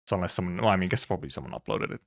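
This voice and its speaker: A man live streaming in casual chat, rambling and haltingly thinking aloud, with some offhand swearing. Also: deep voice, expressive, gravelly. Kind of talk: casual chat, reacting to viewers.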